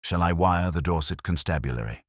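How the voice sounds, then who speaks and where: Man reading as deep-voiced blunt police sergeant. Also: brisk, no room sound, clean studio sound. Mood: puzzled. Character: plain-spoken, sceptical male police sergeant. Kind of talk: detective story dialogue.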